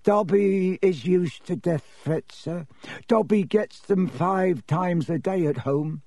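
A small house elf from a storybook, very high-pitched and husky. The creature is servile and fearful, forever pleading with deference and referring to itself in the third person. The sound is close and dry. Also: male voice, slow pace, mellow, dry recording, heavily acted. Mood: meek, deferential. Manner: speaks of self in third person, obsequious.

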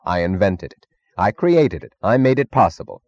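A man's voice: lowish voice, sing-song, normal speed, darkish tone, lo-fi sound. A man voicing an old scientist in an audiobook, proud and contemptuous, with educated, imperious outrage. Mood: indignant outrage. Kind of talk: audiobook character acting.

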